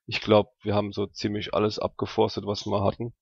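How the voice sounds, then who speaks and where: Low-voiced man thinking aloud, slightly dull sound. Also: monotone, brisk, creaky, a little mellow, slightly rough. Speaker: tabletop role-playing player. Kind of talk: casual game-table chat.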